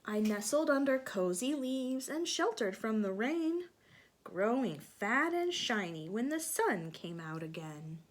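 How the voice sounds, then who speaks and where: Lively, unhurried woman reading to kids. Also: on the high side. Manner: gentle, asks children questions, drops to soft whispers. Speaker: teacher reading aloud. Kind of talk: picture-book read-aloud for children.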